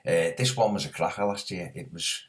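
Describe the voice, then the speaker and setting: A man hosting a casual soccer preview, hoarse from a cold, chatty with lots of fillers. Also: low voice, fairly quick. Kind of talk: casual solo sports preview.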